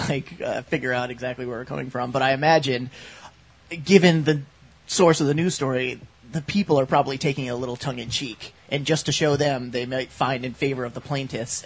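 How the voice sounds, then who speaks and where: A man on a casual radio talk show, arguing a point in a reflective, halting way. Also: mid-range voice, fairly even intonation, poor audio.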